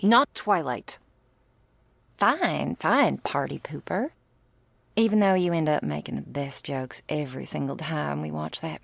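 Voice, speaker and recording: A woman's voice: deep voice, dry recording, exaggerated voice. A woman playing a teasing, doting female vampire lover in an intimate ASMR roleplay, with whispery asides and quaint, affectionate wording. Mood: playful, affectionate. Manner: breathy asides, pet names, quaint turns of phrase.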